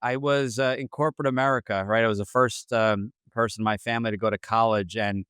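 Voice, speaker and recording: Smooth low-voiced American man. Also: fairly even intonation, fast talker, a bit bright, no echo, clean studio sound. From Central New Jersey. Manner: hesitant, many fillers and restarts. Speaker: franchise consultant, guest. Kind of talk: casual interview answers.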